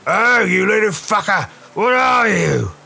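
A man voicing a tall, muscular, battle-scarred ex-pit fighter, gruff but loyal, with rough slangy speech, in a radio play. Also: sits high, big pitch swings, unhurried, sharp tone, pitched above the performer's own voice, full character voice. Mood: furious. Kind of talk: radio play character acting.

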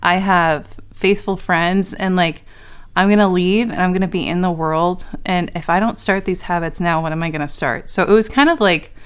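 A woman's voice: fairly low pitch, steady tone, moderate pace, clear, resonant tone. A young woman in her twenties, a podcast co-host, chatting casually and laughing often as she talks. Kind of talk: casual chat.

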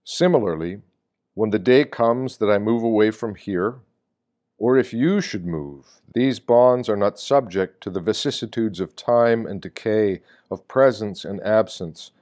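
A man reading a scripted devotional reflection in a measured, reflective manner, often with rhetorical weight. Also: fairly low pitch, a little mellow. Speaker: reader of Lenten meditations.

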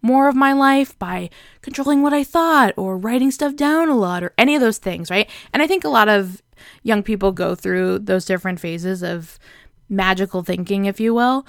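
A woman's voice: medium pitch, moderate pace, gravelly, no room sound, studio quality. A young woman talking casually and earnestly to her viewers, filling her sentences with "like" and "right?". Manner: chatty, earnest, many fillers like "like" and "right?". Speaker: vlogger. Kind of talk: casual reflective talk to camera.

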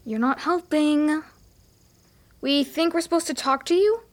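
A plucky young girl with a high voice. She sounds earnest and a little bossy, the brave leader in a children's adventure drama. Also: unhurried. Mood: exasperated. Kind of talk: children's audio drama acting.

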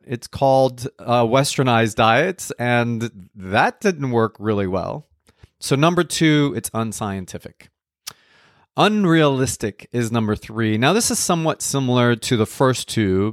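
Middle-aged man, nutrition counselor, wry easygoing talk, mid pitch, dry close mic. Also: dry recording. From Colombia. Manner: conversational, wry, lightly joking. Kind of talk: podcast talk.

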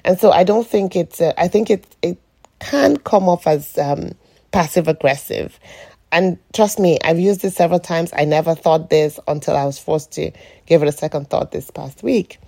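A middle-aged woman with a croaky morning voice, speaking in a rambling, reflective way on an informal solo podcast. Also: on the low side, normal speed, mellow. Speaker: podcast host.

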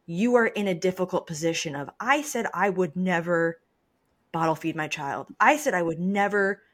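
A woman's voice: lowish voice, moderate pace. A woman, around her thirties, hosting a casual podcast, giving advice and repeating phrases for emphasis. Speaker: podcast host.

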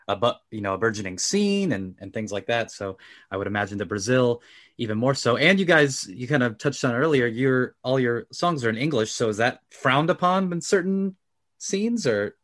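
A man's voice: medium pitch, lively intonation, normal speed, fairly bright tone. A man hosting an interview, asking easygoing, curious questions. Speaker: interviewer, host.

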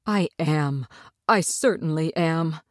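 A woman's voice: lowish voice, expressive, normal speed, no room sound, clean studio sound, close to an everyday voice. A woman voicing a kindly, sheepish little old man, a fraudulent storybook wizard who speaks apologetically. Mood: sheepish, sorrowful. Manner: stuttering, sorrowful.

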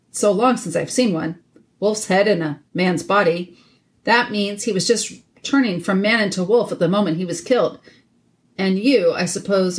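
A woman voicing a calm, courteous boy king with an assured, direct manner. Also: medium pitch, close to an everyday voice.

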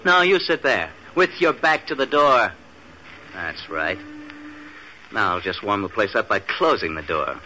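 An actor playing a menacing, sardonic crook, curt and threatening, in a 1940s radio drama. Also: male voice, on the low side, expressive, a little slow. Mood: wary, sardonic. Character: menacing, sardonic male crook holding a gun. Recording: noisy, dull old radio recording.

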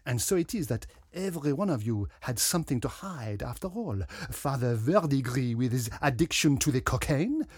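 A man voicing a pompous, dapper little detective with a French accent, theatrical and deliberate, in a mystery story. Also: mid-range voice, animated, darkish tone, slightly rough, dry recording, studio quality, pitched above the performer's own voice. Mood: accusing, deliberate. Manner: pompous, self-regarding, deliberate.

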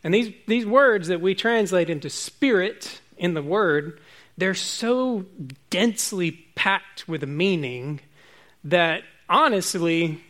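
A man preaching a relaxed, informal sermon to his congregation, chatty and self-joking. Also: sits high, takes it slowly.

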